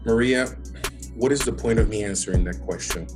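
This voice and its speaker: A man's voice: fairly low pitch, fairly quick, over loud music, natural voice. A man acting in an audio drama as a hurt, exasperated young father rebuffing his ex. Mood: defensive. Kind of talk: naturalistic scripted audio drama.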